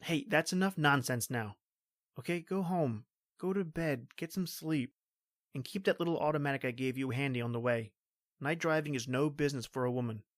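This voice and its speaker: A woman's voice: extremely low pitch, normal speed, no echo. A woman playing a stoic, protective male police officer in an old-time radio horror drama, brusque and melodramatic. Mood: brisk, reassuring.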